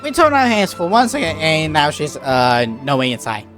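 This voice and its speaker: A woman gamer with a low voice and extremely animated pitch. She chats casually over her gameplay, with faint music in the background. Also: moderate pace. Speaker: game player streaming and reacting.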